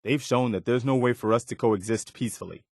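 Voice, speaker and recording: An actor playing an earnest, dutiful, plainspoken militia lieutenant in a post-apocalyptic video game. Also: male voice, mid-range voice, fairly quick, dry recording, studio quality, lightly acted. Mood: steadfast. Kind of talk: video game character dialogue.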